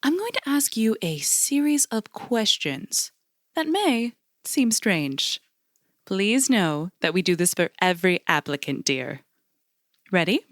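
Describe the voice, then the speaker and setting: An actress playing an overly pleasant, patronizing female agency interviewer in an audio drama, polished and measured in manner. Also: medium pitch, very animated, a bit bright, no room sound, studio quality. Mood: condescending, probing.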